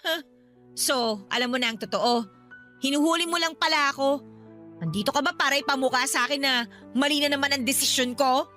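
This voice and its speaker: Hurt proud little sister in a radio drama, super high bright voice, sarcastic, throws accusations. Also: female voice, fairly quick, pauses often, faint music behind. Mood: defensive, bitter. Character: hurt, defiant younger sister, proud and broke. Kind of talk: Tagalog radio drama acting.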